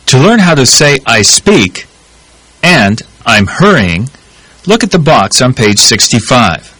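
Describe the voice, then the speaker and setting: A man narrating a language course in English, giving measured, clear instructions. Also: mid-range voice, sing-song, pushed and bright, dry recording, low-quality recording.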